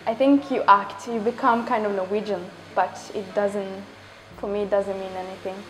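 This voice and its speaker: Woman, mid pitch, slow and considered, filler-heavy answer. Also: fairly even intonation, a bit bright, slight background noise, low-quality recording. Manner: thoughtful, pauses with fillers. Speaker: interviewee. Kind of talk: interview answer.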